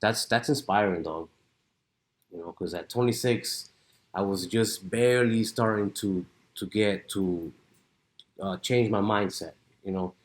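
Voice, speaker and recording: A man's voice: lowish voice, a little slow. A man hosting a podcast, asking questions in an easygoing, enthusiastic and slangy way. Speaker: podcast host. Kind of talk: casual interview.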